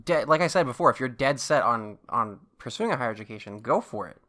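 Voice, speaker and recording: Bright-voiced young man, casual podcaster. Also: medium pitch, animated, fast talker. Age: early twenties. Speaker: podcast co-host. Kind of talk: casual rambling chat.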